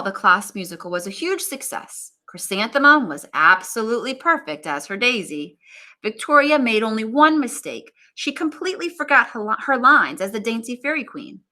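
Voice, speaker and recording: A woman reading a picture book aloud in an expressive, animated teacher's manner, with asides to young listeners. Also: fairly high pitch. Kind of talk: expressive children's story read-aloud.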